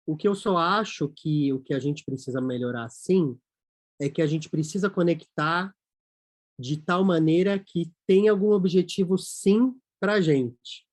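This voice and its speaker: A man from Brazil, a company CEO, talking as a guest in a casual interview, animated and acting out quoted voices. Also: fairly high pitch.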